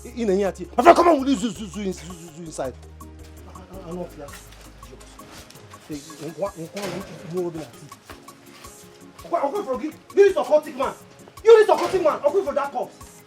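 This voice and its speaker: A woman's voice: fairly low pitch, expressive, fairly quick, echoey room, natural voice. An actress playing a woman who mocks an occult man in a taunting, singsong, repetitive way. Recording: music playing underneath.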